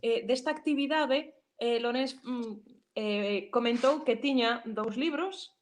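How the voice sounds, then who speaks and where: A woman with a Galician accent speaks in a relaxed, casual way over a video call. Her voice sits a little high, and she often pauses on fillers as she talks. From Galicia, Spain. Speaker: writer and cultural manager. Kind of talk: casual conversation.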